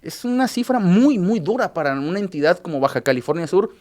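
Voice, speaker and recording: A man with a Mexican Spanish accent, a journalist recapping news cases on a radio show, halting often with filler sounds and restarts. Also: high-pitched, expressive, a little mellow.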